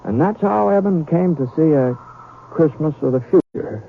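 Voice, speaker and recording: An actor playing a homespun western storyteller, narrating a radio drama in a halting, conversational way. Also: male voice, a little high, animated, gravelly. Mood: folksy, wry. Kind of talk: old-time radio storytelling. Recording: tinny radio sound with crowd noise.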